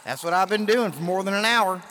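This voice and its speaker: An actor playing a harried, folksy outlaw underling in a western radio drama, casual and a little put-upon. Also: male voice, high-pitched, brisk, sharp tone, a little hiss or hum.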